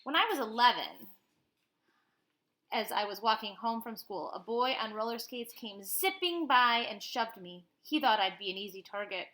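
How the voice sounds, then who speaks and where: Female teacher read-aloud: bright, forward, slightly high voice, expressive and explanatory, speaking straight to kids. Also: normal speed. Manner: expressive, explanatory, addresses children directly. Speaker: teacher reading to children. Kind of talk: animated picture-book read-aloud with informal asides.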